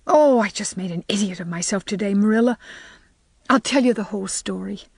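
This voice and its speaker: A woman's voice: mid-range voice, brisk, vocal fry, breathy edge, no room sound, clean studio sound. A woman voicing an emotional young schoolteacher in an audiobook, speaking in a sobbing, pleading way. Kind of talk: storybook character reading.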